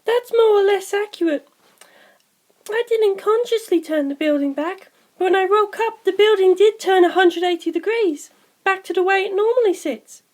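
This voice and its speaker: A narrator voicing a gentle, reassuring young woman ghost who speaks earnestly and politely in a story. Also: very high voice, normal speed, voice pushed up.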